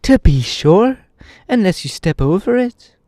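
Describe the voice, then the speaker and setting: A man voicing a bossy, impatient young boy in a storybook reading, talking high and shrill. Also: big pitch swings, unhurried, no echo, studio quality. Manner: shrill, exasperated shouting.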